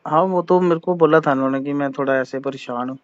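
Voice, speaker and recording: A man with a slightly raised pitch questions the other party on a phone call, politely but persistently. His voice comes through dull and muffled, as captured over a phone line. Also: quick pace, dry recording. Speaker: phone caller. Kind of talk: tense phone conversation.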